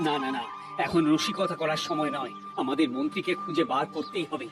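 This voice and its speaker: A man's voice: fairly high pitch, a little fast, music in the background, close to an everyday voice. A man voicing a fretful, dramatic king in a Bengali cartoon, plaintive and full of exclamations. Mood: anxious, insistent. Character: fretful, dramatic king searching for his minister.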